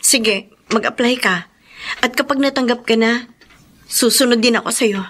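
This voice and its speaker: High-pitched, earnest young daughter. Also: female voice, moderate pace, a bit bright. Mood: worried, resolute. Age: young adult. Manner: pleading, earnest. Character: dutiful, worried young elder daughter. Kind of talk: Filipino radio drama acting.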